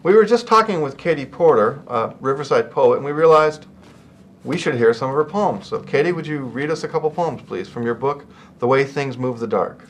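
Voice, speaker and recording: A man's voice: medium pitch, expressive, a little fast, a little hiss or hum. A man hosting a literary program, introducing a guest and asking questions. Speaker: host, newspaper editor. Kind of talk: video program introduction and interview.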